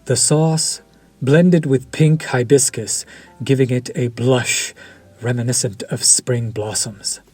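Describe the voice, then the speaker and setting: Vampire chef, played by a man: unhurried, husky, formal and seductive, over faint music. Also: mid-range voice, fairly even intonation, a little mellow. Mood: suave. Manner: polished, formal and flirtatious, lingering over words. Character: suave vampire chef, courteous, quietly predatory. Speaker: voice actor in audio roleplay. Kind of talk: scripted audio roleplay.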